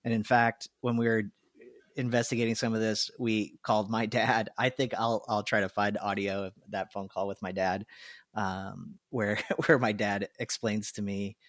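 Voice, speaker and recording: A man's voice: on the low side, flat delivery, moderate pace, no room sound. A man co-hosting a podcast, telling a story casually and chuckling as he goes, into a close microphone. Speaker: podcast co-host.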